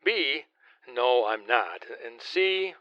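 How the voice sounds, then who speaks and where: A man's voice: mid-range voice, very animated, slow pace, smooth, no room sound. A man acting as a prickly but obliging friend on a phone call in an audio comedy.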